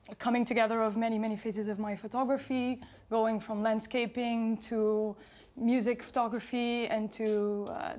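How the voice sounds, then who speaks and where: A woman's voice: fairly high pitch, a little mellow. A young woman from Lisbon hosting a talk at her photography exhibition, friendly and hesitant with many fillers. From Portugal, Lisbon. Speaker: host, photographer.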